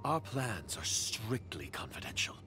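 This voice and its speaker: An actor playing a scornful, secretive conspirator in a video game, with a guarded, measured and disdainful manner. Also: male voice, fairly low pitch, sing-song, music in the background. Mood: suspicious. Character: wary, scornful covert conspirator, secretive and calculating.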